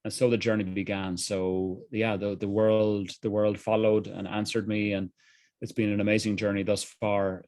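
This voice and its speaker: A middle-aged man with a Dublin Irish accent and a fairly low voice talks earnestly about his own path as an interview guest. His answers come candid and reflective, doubling back on phrases now and then. The sound is dry and close, with no room around it. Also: darkish tone, dry recording. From Ireland. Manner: candid, earnest, frequent restarts. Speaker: guest, executive coach.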